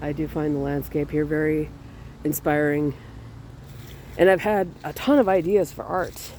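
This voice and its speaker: A man from Minnesota, stuffy from a cold, chatting in a relaxed, rambling way on a walking vlog outdoors. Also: fairly high pitch, normal speed, a little hiss or hum. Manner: relaxed, rambling, with small laughs and restarts. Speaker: artist vlogger. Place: outdoors in a cemetery on a fall day.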